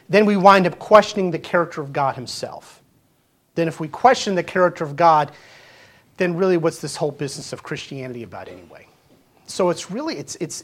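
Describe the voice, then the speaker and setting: A fifty-year-old pastor preaches in a plain, conversational way. He keeps asking his listeners rhetorical questions and often restarts his sentences as he talks his point through. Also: a little high. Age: 50s. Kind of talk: sermon.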